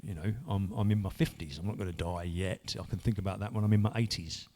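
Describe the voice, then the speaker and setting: A man's voice: low-pitched, fairly even intonation, quick pace, dark tone, slight background noise. A man, earnestly telling his own story in a rambling way, full of restarts. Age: 50s. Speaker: church member sharing testimony. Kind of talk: personal testimony.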